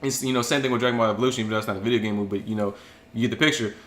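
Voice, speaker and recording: A man's voice: on the low side, steady tone, very fast, fairly bright tone. A young man co-hosting a casual chat, speaking earnestly with frequent ums. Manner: earnest, full of fillers like um. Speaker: co-host.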